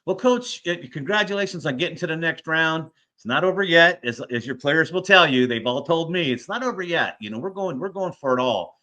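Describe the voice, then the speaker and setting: A man's voice: on the high side, lively intonation, a little fast, hardly any pauses, bright, forceful tone. An American man hosting a sports show, talking conversationally as an interviewer.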